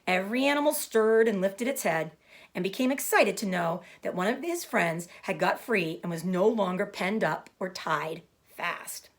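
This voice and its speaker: A woman giving an expressive storybook read-aloud for children, pausing to explain things simply. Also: mid-range voice. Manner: explanatory, addressing young listeners. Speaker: storybook reader.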